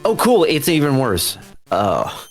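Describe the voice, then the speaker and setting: A man's voice: medium pitch, huge pitch swings, slow pace, faint music behind. A man chatting casually as he plays in a speedrun race, with dramatic, exasperated exclamations. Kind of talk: casual live gameplay chat.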